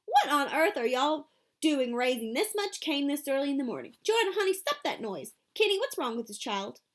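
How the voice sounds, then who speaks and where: A woman's voice: sits high, big pitch swings, normal speed, pitched above the performer's own voice. A woman voicing a fussy, stern older grandmother. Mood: exasperated.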